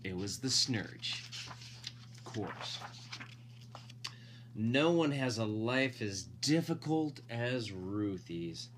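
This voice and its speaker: A man's voice: on the low side, animated, very slow, pauses often, a bit bright, a little hiss or hum. A dad reading a picture book aloud expressively, drawing out emphasized words and adding playful, casual asides to his listeners. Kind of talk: animated storybook read-aloud with casual asides.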